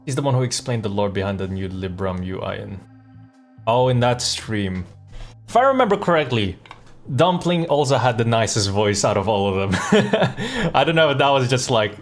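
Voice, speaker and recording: A man's voice: medium pitch, big pitch swings, normal speed, faint music behind. A man streaming a game and chatting casually, thinking aloud in a rambling way. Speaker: live streamer. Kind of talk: casual chat while playing a game.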